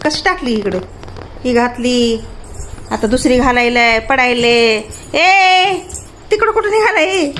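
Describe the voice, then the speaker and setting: Very high, bright-voiced woman, Marathwada Marathi accent. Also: animated, measured pace. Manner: playful, teasing, repeats short questions. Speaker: mother and family vlogger. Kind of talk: casual chat with a toddler. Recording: faint background noise.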